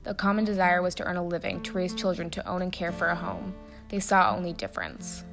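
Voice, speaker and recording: Woman, moderately low voice, emphatic deliberate phrasing, formal speech reading, faint music underneath. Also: vocal fry. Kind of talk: dramatic reading of a commemorative speech.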